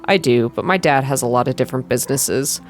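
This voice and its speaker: A woman's voice: a bass voice, quick pace, slight background noise, pitched below the performer's own voice. A woman voicing an evasive young male student in a story, apologetic and guarded in manner. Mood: uncomfortable, explaining.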